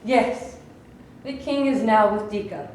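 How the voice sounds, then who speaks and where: A woman's voice: on the high side, takes it slowly, a little mellow. A woman in a staged reading playing an anguished, defiant prehistoric woman mourning her king. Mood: solemn, defiant. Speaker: actor. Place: echoey room at a staged reading. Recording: echoey room, distant mic, faint noise.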